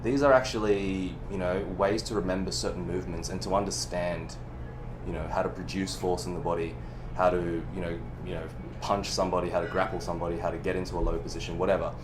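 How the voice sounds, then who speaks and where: A man's voice: deep voice, fairly even intonation, quick pace, noisy background. A man, a movement coach whose first language is Cantonese, talking animatedly in a casual podcast interview, with frequent restarts and the odd swear word.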